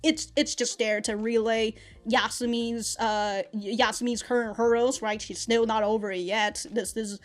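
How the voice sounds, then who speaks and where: A woman's voice: on the high side, normal speed, few pauses, faint music behind. Chatty young woman reviewing an anime. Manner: rambling, animated, laughs often, many restarts. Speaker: anime reaction host.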